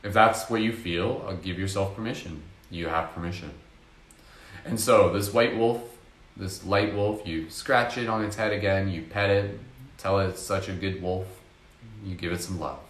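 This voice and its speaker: Low-voiced guy talking you through a meditation, slow and soft, kinda echoey room. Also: steady tone. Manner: slow, soft-spoken, hypnotic with casual fillers. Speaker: meditation guide. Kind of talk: guided meditation.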